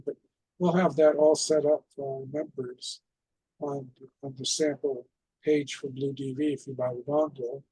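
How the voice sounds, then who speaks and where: A man giving an informal instructional presentation as a radio net host, explaining in a halting way with repeated phrases. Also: on the high side, dark tone, husky, no room sound, rough recording.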